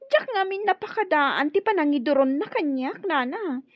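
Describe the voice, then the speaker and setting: A woman's voice: sits high, animated, normal speed, no echo, muffled recording. An actress playing an irritable, haughty woman who complains hotly, in an Ilocano radio drama. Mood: indignant, aggrieved.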